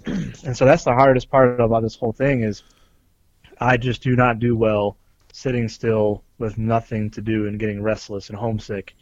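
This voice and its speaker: A man co-hosting a podcast who talks earnestly and explains things in detail, with many pauses and ums. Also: mid-range voice, level tone, no echo, dull audio. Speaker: podcast co-host, police officer. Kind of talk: casual chat.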